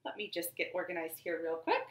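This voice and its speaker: A woman in her thirties leading children's storytime in an animated, sing-song manner from a living room. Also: on the low side, quick pace, a little hiss or hum. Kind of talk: children's storytime, rhymes and read-aloud story. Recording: roomy home webcam sound.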